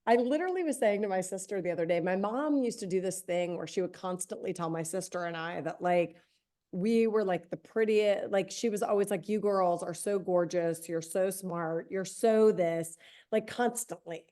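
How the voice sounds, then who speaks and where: A woman in her forties co-hosting a podcast, hoarse today, chatting casually with quick self-deprecating asides. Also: lowish voice, dark tone. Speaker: podcast co-host. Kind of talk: casual chat.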